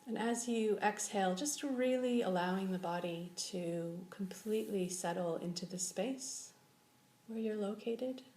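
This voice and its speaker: A woman leading a guided meditation as a teacher, giving slow, gentle instructions with long pauses. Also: mid-range voice. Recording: echoey room with faint background noise.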